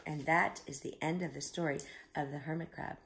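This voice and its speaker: Gravelly-edged woman reading a children's story. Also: low-pitched, a little fast. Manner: expressive, explains simply to children. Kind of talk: picture-book read-aloud with simple asides to young children.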